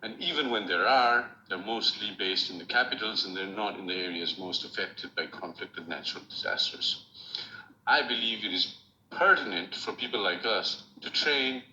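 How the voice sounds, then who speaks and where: A middle-aged man with a Nepali accent, giving a measured, formal acceptance speech from a remote room. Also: fairly low pitch, pushed and bright. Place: room at home, recorded remotely. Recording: roomy, dull lo-fi with faint hiss.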